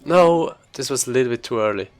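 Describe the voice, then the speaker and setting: A man's voice: medium pitch, lively intonation, brisk. A man giving casual, rambling live commentary as he speedruns a video game, thinking aloud as he plays. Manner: rambling, informal, thinking aloud while playing.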